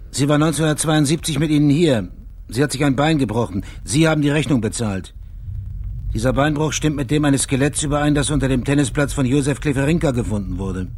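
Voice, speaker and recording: An actor playing a dogged private investigator in a German radio crime drama, matter-of-fact and persistent, with a husky voice. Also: male voice, medium pitch, brisk, a little mellow, lightly acted.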